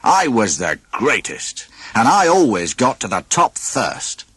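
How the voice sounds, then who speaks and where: A man's voice: medium pitch, huge pitch swings, unhurried, a bit bright, breathy edge, full character voice. A man voicing a boastful, short-tempered duck uncle from a cartoon storybook, in a blustering, emphatic way.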